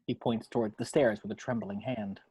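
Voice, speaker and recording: Clear-voiced man reading screenplay action. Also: fairly low pitch, fairly even intonation, a little fast, darkish tone, dry recording. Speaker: reader of screenplay action lines. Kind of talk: scripted narration at a table read.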